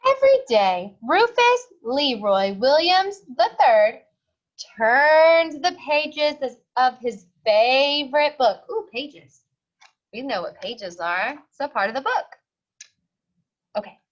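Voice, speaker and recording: High-pitched, expressive woman reading to children. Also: slow pace, dull audio. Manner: expressive, simple explanations for young listeners. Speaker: teacher reading aloud to children. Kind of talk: children's picture-book read-aloud with asides.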